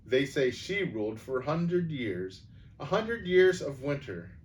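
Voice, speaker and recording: A man's voice: medium pitch, very animated, a little slow, rough recording. A man voicing a bitter, hostile dwarf who speaks coldly and snaps at others in a storybook reading. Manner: cold, snapping, rising to a scream. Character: bitter, hostile male dwarf, cold and resentful.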